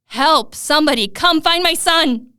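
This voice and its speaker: A woman voicing a hot-tempered storybook prince, calling out in loud, drawn-out, commanding cries. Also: high-pitched, pitched above the performer's own voice. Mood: frantic. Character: hot-tempered Welsh prince, anguished father and hound's master.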